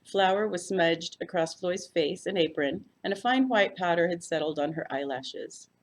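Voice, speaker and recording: A woman's voice: on the low side, moderate pace. A woman reading a first-person mystery story aloud as a volunteer narrator. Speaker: volunteer audiobook reader.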